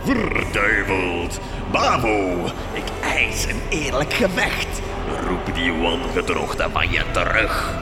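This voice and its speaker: A man's voice: sits low, big pitch swings, exaggerated voice. A man playing a grandiose, menacing villain who believes himself godlike, with a Flemish accent, in a gothic horror comedy radio drama. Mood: menacing, scornful. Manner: menacing, theatrical, drawn-out. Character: grandiose male villain boasting of godlike immortal power. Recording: echoey, loud music over the voice.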